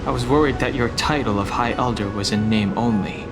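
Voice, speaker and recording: A man's voice: mid-range voice, a little fast, close to an everyday voice. An actor voicing a serious, reserved young male warrior in an anime-style video game. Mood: measured. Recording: loud music behind.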